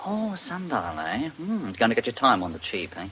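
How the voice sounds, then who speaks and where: An actor playing a jovially menacing blackmailer in a radio drama, with a mocking, falsely chummy manner. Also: male voice, medium pitch, wildly swooping pitch, normal speed, fairly bright tone, slightly rough, full character voice. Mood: sneering.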